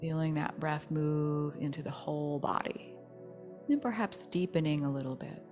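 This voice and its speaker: A woman teaching yoga nidra, leading a guided relaxation meditation in a soothing, gentle, unhurried manner. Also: low voice, soft background music.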